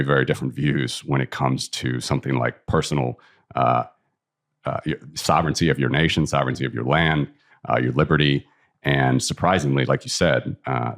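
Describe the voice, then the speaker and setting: A man's voice: very deep voice, gravelly. A man with an American accent from the Midwest, a guest talking frankly about his strong opinions. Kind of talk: casual interview talk.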